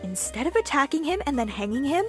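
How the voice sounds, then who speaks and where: Audio drama role: eager young female sleuth woman actor, theatrical ghoulish relish, high swooping delivery over music. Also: big pitch swings, quick pace. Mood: excited, assertive.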